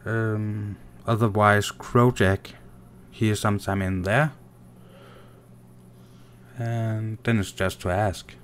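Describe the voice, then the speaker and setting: A man from Denmark with a Danish accent, casually commenting on his gameplay while reading and answering viewer chat in a soft, unhurried way. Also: fairly low pitch, little pitch movement, slight background noise. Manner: soft-spoken and unhurried, muttering while playing. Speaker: gaming streamer.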